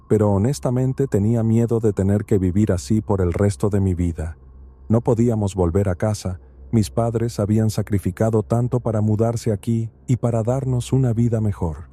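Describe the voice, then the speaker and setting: A man narrates a first-person horror story in a calm, steady reading. His voice sits low. The tone is very dark and mellow.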